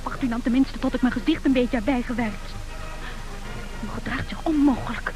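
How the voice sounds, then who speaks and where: Actress as high-voiced headstrong young heiress. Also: female voice, fairly quick, close to an everyday voice, poor audio. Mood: insistent, indignant. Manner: insistent, theatrical, imperious. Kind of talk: old Dutch radio comedy acting. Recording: old radio play, music behind.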